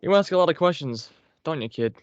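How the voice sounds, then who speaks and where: A man's voice: on the high side, animated, fairly quick, no echo, lightly acted. Man playing a world-weary bossy general, muffled audio. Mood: ominous. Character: gruff, world-weary scrap-paper General, bossy veteran. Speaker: actor in a script table read. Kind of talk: amateur table read over a group call. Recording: dry, dull group-call audio.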